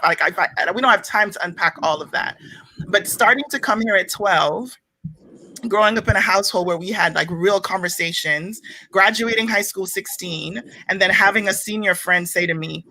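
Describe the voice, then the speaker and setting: A middle-aged woman from Jamaica, speaking as a panelist on a video call with quick, animated storytelling. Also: fairly low pitch, low-quality recording.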